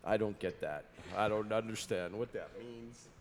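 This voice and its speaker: A man doing improvised radio comedy, reading haltingly and breaking off flustered. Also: on the low side, in a large hall, a little hiss or hum.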